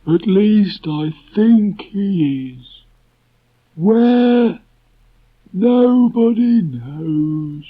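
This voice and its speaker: A man voicing a gloomy, self-important old donkey in a children's storybook, declaiming in a ponderous, halting, doleful way. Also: high-pitched, voice pushed up, heavily acted. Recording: muffled old cassette tape transfer.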